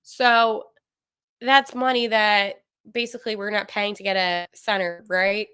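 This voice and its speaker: A young woman hosts a sports podcast from home with a bright, crisp voice. She talks in a loose, animated way, full of fillers and quick corrections. The sound is close and dry. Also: mid-range voice, dry recording. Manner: chatty, animated, many fillers and self-corrections. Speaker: podcast host. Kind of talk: casual sports podcast monologue.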